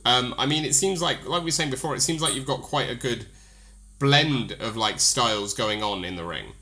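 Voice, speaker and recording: A man's voice: medium pitch, normal speed, bright, forceful tone. A man from the UK hosting an interview, rambling through questions with lots of "like" and easy laughs. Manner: rambling, filler-heavy, friendly, laughs easily. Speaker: podcast interviewer. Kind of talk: casual interview chat.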